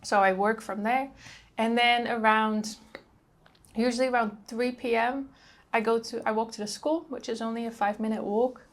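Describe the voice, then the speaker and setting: A woman's voice: on the high side, fairly even intonation, normal speed. Young woman, Dutch accent, travel vlogger, casual chatty talk, lots of ums and likes. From Netherlands.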